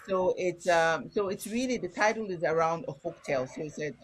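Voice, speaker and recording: A woman's voice: fairly low pitch, brisk, slight background noise. A middle-aged woman from Nigeria with a Nigerian accent, speaking candidly as a guest author and explaining things in a conversational way. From Ibadan, Nigeria. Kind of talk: conversational interview.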